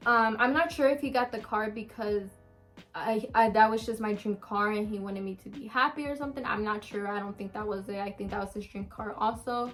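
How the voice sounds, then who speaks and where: A woman's voice: medium pitch, steady tone, fairly quick, a bit bright, faint music behind. A woman of about twenty chatting casually to camera, answering viewer questions in a candid, rambling way with many ums. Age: around twenty. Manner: chatty, frequent ums and restarts, open and candid.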